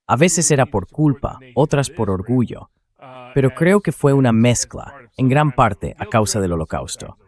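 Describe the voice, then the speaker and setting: A man's voice: mid-range voice, big pitch swings, normal speed, darkish tone. A synthetic male voice reading a translated political commentary as a voice-over. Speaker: interview guest analyst, dubbed translation.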